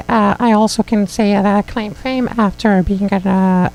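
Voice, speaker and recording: A woman from Syria, a radio guest who speaks hesitantly with many pauses. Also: medium pitch, darkish tone, rough recording. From Aleppo, Syria. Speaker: activist and guest. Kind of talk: radio interview. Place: radio studio.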